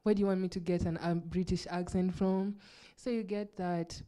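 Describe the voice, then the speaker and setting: Dark smooth-voiced Kenyan woman. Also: on the low side, level tone, moderate pace. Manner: laughs often, frank, uses "like" a lot. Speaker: actress guest. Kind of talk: casual interview chat.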